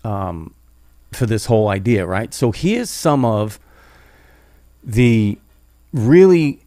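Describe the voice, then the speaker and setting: A man's voice: lowish voice, lively intonation, slow pace, mellow, no room sound. A man hosting a podcast interview, rambling along and tagging his sentences with "right?", on a close studio mic. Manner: rambling, keeps adding "right?". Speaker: podcast host. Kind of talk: casual interview talk. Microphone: studio boom mic with headphones, close.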